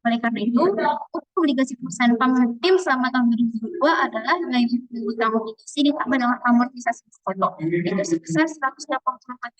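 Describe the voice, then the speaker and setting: A young woman speaks in a high voice at a fast clip, going through exercise answers as a student presenter. The sound is dry, with very little room in it. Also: dry recording, poor audio. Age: young adult.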